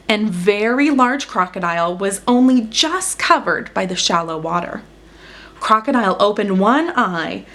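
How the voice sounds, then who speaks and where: A woman's voice: mid-range voice, a bit bright. A woman reading a children's picture book aloud as a storyteller, with dramatic emphasis on action words. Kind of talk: expressive children's story narration.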